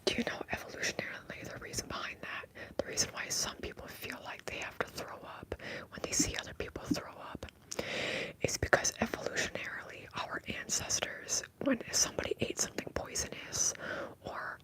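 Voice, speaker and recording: A woman hosting an ASMR live stream, whispering softly as she rambles and greets viewers in the chat. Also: brisk, low-quality recording. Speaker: ASMR livestream host.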